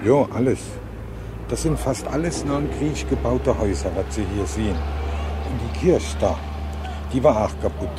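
An earnest older village man played by a male actor remembers the war in a radio play. He speaks plain, colloquial southwestern German dialect at a medium male pitch, like a rustic eyewitness. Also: moderate pace, loud music behind the voice, natural voice. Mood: matter-of-fact. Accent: southwestern German regional dialect. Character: earnest older village war veteran recounting his past on camera. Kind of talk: rustic spoken eyewitness recollection.

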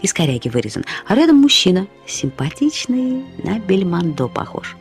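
Актриса изображает ветреную, хвастливую и самоироничную женщину, молодую душой, театрально и игриво, как в радиоспектакле. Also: female voice, lowish voice, big pitch swings, moderate pace. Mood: coquettish, playful. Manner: theatrical, boastful, playfully emphatic. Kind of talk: radio drama character acting. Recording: studio radio play with faint music.

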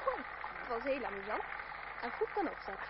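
A woman's voice: a little high, very animated, a little fast. An actress playing a haughty, teasing young noblewoman in an old radio drama, with a genteel, theatrical delivery. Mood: sympathetic, polite. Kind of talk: old-fashioned Dutch radio drama acting. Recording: noisy, muffled old radio play recording.

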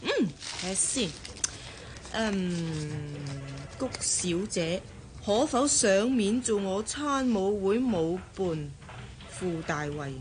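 A woman's voice: medium pitch, animated, slow pace, background noise. A woman acting in a Cantonese radio drama with a Hong Kong accent, playing a nosy, nagging young colleague full of exclamations. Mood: curious. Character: gossipy young female colleague, nosy, envious, exasperated friend.

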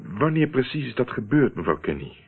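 A man's voice: medium pitch, very animated, brisk, breathy edge. An actor playing a shrewd, teasing investigator in a radio play, wry and controlled as he probes. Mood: probing, firm. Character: shrewd, teasing male investigator, calmly pressing. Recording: muffled, narrow-band old radio recording.

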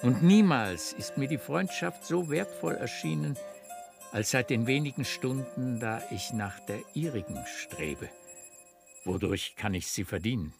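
A man's voice: mid-range voice, expressive, unhurried, gravelly, music in the background. An actor playing an effusive, opinionated country baron in his fifties in a German radio play, courtly and blustering. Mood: effusive, courting friendship. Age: 50s.

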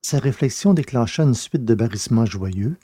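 A man narrates a scripted science-fiction audio drama. His voice is soft-edged and dark, at a middle pitch. The recording is very dry. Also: expressive, moderate pace, breathy edge, no echo.

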